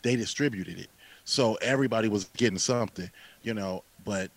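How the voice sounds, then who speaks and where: A man in his late thirties in a casual podcast conversation, talking with animated emphasis, repeating phrases and using casual slang. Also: on the low side, a little fast, creaky, poor audio. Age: late 30s.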